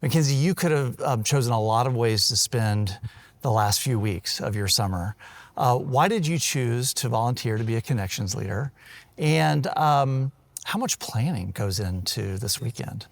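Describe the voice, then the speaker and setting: A man's voice: medium pitch, expressive, moderate pace, creaky, no echo. A middle-aged man, a university president, hosting an interview in an upbeat, encouraging way. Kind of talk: hosted interview.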